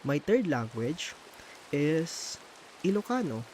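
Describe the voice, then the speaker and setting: A man from the Philippines talks casually in a Filipino accent while building in a game, his voice sitting a little high. He often pauses mid-sentence to find the right English word, since Tagalog is his first language. A faint hiss runs under the recording. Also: big pitch swings, a little mellow, poor audio. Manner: thinks aloud, pauses to hunt for English words. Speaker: streamer.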